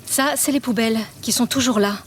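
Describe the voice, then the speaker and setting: A young actress playing a weary, hurt student in an audio drama. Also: female voice, a little high, a little fast, slight background noise, close to an everyday voice. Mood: weary, patient. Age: young adult. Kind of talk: French audio drama acting.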